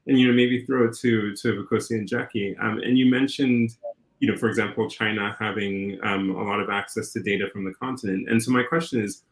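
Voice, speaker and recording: A man's voice: fairly low pitch, fairly even intonation, brisk. A man moderating a panel discussion, probing and putting questions to the panelists. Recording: echoey room.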